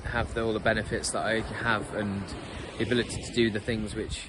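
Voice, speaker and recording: A man in his thirties speaking in a relaxed, slightly halting way, recorded outdoors. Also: lowish voice, noisy background. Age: 30s. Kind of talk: casual personal testimony.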